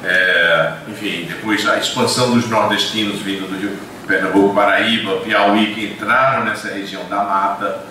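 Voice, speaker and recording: A man's voice: on the low side, fairly even intonation, moderate pace, distant mic, a little hiss or hum. An older man, a university professor, giving a discursive lecture with frequent hesitations and restarts. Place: lecture room. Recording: echoey classroom recorded from afar.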